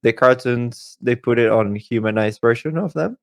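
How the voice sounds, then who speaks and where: A man's voice: medium pitch, normal speed, creaky, no echo, studio quality. A man talking casually as a podcast co-host, with slightly non-native phrasing.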